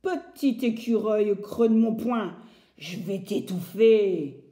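A woman voicing a big, blustering, angry male woodcutter who shouts and growls threats. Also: very high-pitched, fairly even intonation, a little slow, echoey room, voice pushed up. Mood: growling threats.

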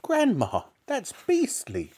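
A man's voice: very high voice, wildly swooping pitch, slow pace, mellow, voice pushed up, full character voice. A man voicing a polite but protesting eight-year-old boy in a storybook reading. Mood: disgusted, horrified. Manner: pleading, protesting.

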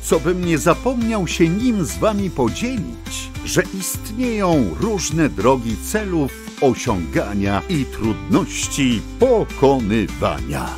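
A man narrating a scripted children's tale as a theatrical, expressive storyteller. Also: on the high side, slow, hardly any pauses. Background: music.

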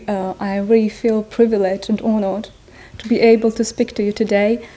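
A woman in her thirties with a Polish accent, giving an earnest personal talk to an audience in a large tent, pausing to search for words. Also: fairly high pitch, mellow. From Poland. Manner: earnest, searching for words, non-native phrasing. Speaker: speaker at a Buddhist gathering. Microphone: stand mics in front of her.

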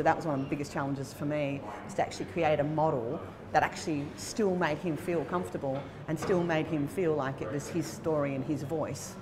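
An Australian woman in her forties talking conversationally in an interview as a film producer, in an animated, emphatic, informal manner. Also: sits low. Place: indoor room by a bright window at a festival. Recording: chatter of people in the background.

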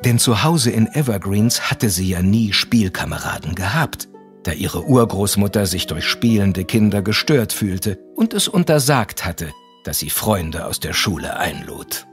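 A man narrating an audio drama as a measured storyteller. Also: on the low side, animated. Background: music with harp.

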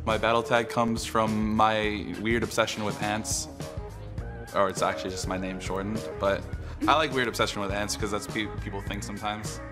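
A man in his twenties from California speaks casually as an esports player being interviewed. His voice is fairly low, with music clearly behind it. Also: fairly even intonation, normal speed. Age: 20s.

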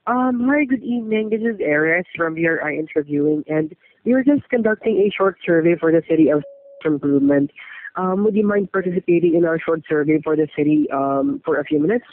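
A man's voice: high-pitched, expressive, brisk. A man working as a survey interviewer, making a polite request over the telephone. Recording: tinny narrowband phone line.

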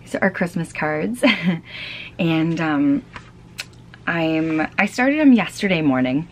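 Young woman home vlogger, low voice, rambling ums, a bit run down, close to the camera. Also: animated, slow, slight background noise. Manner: chatty, frequent ums and restarts. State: tired, fighting off a cold. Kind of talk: casual chat. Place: home, small room.

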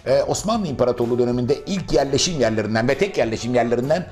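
Male interviewer, brisk formal delivery, long polished questions, light music bed. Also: medium pitch, animated, a little mellow.

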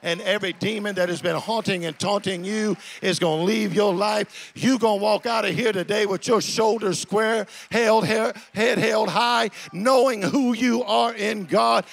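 High-pitched gravelly male preacher. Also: fairly even intonation, normal speed, few pauses. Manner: declamatory, emphatic, repeats phrases for effect. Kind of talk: impassioned sermon to a congregation.

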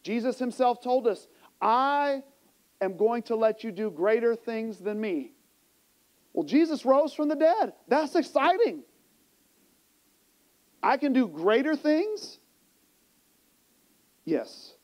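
A man in his forties preaching a sermon as a pastor, with an emphatic delivery that moves between hushed and raised. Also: very high-pitched, sing-song, slow pace, long pauses. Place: church sanctuary stage, indoors. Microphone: small mic clipped to the chest.

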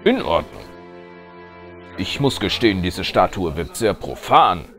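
A man playing a ponderous, formal old judge in an animated courtroom drama. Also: sits low, a little slow, heavily acted. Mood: pondering. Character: solemn old male judge, ponderous, easily swayed. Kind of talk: animated courtroom fan dub acting. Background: background music.

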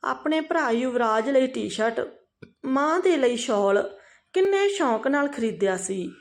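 A woman reading a scripted, emotional family story in an expressive, dramatized way. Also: sits high, a little slow.

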